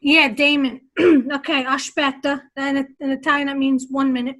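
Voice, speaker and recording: Very high, bright-voiced New York woman. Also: level tone, normal speed. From Long Island, New York. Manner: chatty, storytelling. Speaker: podcast co-host. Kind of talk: casual chat.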